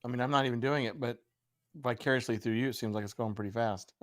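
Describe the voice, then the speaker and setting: A man co-hosting a livestream with casual, jokey banter, his voice raspy and hoarse from talking all day. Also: mid-range voice, steady tone, fast, no echo, clean studio sound. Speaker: livestream co-host.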